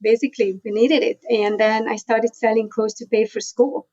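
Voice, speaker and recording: A woman's voice: fairly high pitch, fairly even intonation, moderate pace, dark tone, no echo, highs cut off. Hungarian-accented woman telling her story. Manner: storytelling, chatty, frequent "you know" and laughs. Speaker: guest. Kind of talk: conversational interview.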